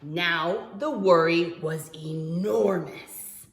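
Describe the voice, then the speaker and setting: A woman preschool teacher reading a picture book aloud to young children, expressively, with dramatic pauses and stretched-out words. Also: lowish voice, reverberant.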